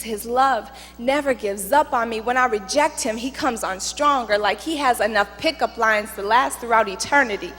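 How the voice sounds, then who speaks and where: A woman performing a spoken word poem in church, with dramatic, deliberate phrasing and emphatic pauses. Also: on the high side, fairly bright tone. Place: church, live recitation. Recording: reverberant hall, music underneath. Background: music.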